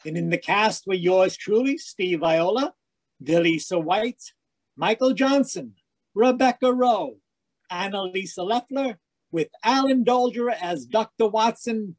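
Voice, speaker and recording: A man playing an eager, cheery 1940s radio announcer and sponsor pitchman. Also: animated, slow pace, heavily acted, low-quality recording. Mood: formal sign-off.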